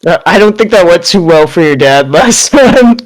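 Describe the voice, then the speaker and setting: A man's voice: high-pitched, expressive, moderate pace. A man chatting and bantering casually with friends as a party game player.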